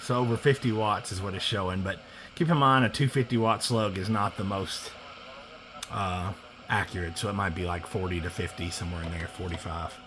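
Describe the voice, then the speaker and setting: Low-voiced man over clear radio noise. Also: steady tone, brisk. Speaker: radio seller demonstrating equipment. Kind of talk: casual product demo vlog.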